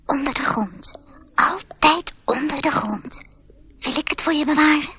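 A woman voicing a secretive little mouse in a radio drama, with a breathy, whispery voice. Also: high voice, a little slow, bright, forceful tone, no echo. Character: secretive little female mouse, matter-of-fact.

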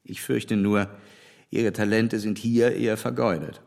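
An actor playing a frank, critical gentleman in a literary radio play, opinionated and wry in a formal way. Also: on the low side, level tone, a little slow, gravelly. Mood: dryly teasing. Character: frank, critical English gentleman, dryly teasing.

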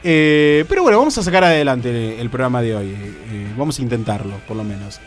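A young man with a Rioplatense accent hosting a casual radio show, hoarse from a cold and joking around. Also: medium pitch, expressive, fairly quick, faint music behind. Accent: Rioplatense Spanish. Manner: joking, teasing.

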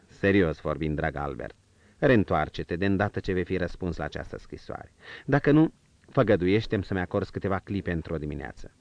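Man voicing a calm, composed prince. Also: deep voice, vocal fry, no room sound, highs cut off. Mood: courtly. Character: male prince, calm, gently commanding.

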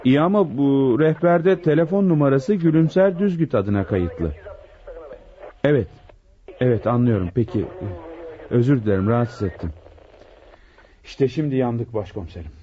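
A man's voice: mid-range voice, lively intonation, moderate pace, long pauses, natural voice. A man acting a deferential, earnest police deputy in a radio drama, speaking respectfully and attentively. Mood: dismayed. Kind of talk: Turkish radio theatre acting. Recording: dull, lo-fi radio recording.

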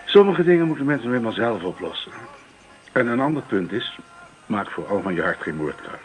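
An actor playing an avuncular older village pastor, paternal and knowing, in a Dutch radio drama. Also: male voice, on the low side, big pitch swings, a little fast, faint music behind, low-quality recording. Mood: reassuring, knowing.

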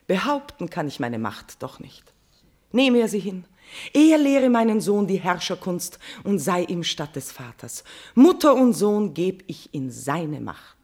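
An actress playing a guilt-ridden queen in a classical tragedy, declaiming verse with a husky voice, impassioned and swinging between hush and outcry. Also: mid-range voice. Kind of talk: declamatory classical German stage verse acting.

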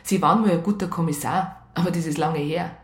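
A woman acting as a strict police psychologist in a radio crime play. Her lowish voice is matter-of-fact and rather formal, with a roomy echo around it. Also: a little fast, close to an everyday voice. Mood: stern, formal. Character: strict, self-conflicted female police psychologist, narrating. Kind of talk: radio crime drama, colloquial narration and dialogue.

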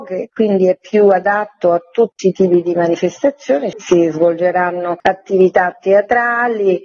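A woman giving measured, formal explanations as an interview guest. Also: on the low side, dark tone. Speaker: museum director, interview guest. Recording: slightly dull, lo-fi line.

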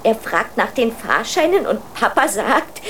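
An imperious royal girl in a children's radio drama. The voice is high and very lively, with grand formal phrasing and small stumbles, over faint background noise. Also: unhurried. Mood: insistent, grand. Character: imperious royal child, princess of a hard-up family. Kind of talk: German children's radio play acting.

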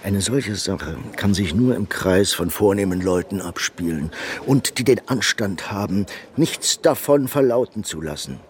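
A worldly, persuasive painter in a radio drama, pitched on the low side. He is coolly matter-of-fact and insinuating as he deals. An ambient noise bed runs behind the voice. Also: a little mellow. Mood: discreet, persuasive. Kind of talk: German radio drama acting.

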